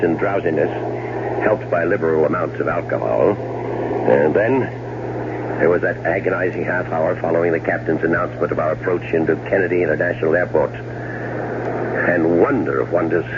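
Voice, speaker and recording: An actor in a 1970s radio drama playing a fastidious, murderous husband who narrates with dry, precise urbanity. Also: male voice, deep voice, heavy background noise. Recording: muffled old radio broadcast recording.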